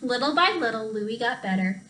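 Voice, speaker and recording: A woman reading a picture book aloud for children, expressive and speaking directly to her young listeners. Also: on the high side, normal speed, a little hiss or hum. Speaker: storytime reader, teacher. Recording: roomy echo.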